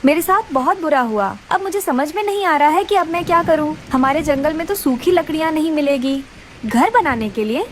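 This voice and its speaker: Woman voicing a high, plaintive little female bird. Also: expressive, normal speed, a little hiss or hum. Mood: distressed, plaintive. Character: distressed, hardworking, polite young female bird. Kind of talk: Hindi children's cartoon character voice.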